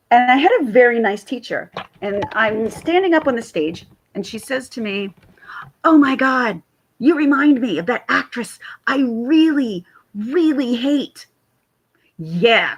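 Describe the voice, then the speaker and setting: A woman with a raspy voice chatting casually to camera on her vlog, rambling and laughing often. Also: medium pitch. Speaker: YouTube commentator.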